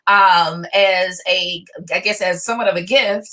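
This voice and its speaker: A woman's voice: lowish voice, fairly even intonation, a little slow, sharp tone. Woman hosting, informal announcements. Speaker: host, moderator.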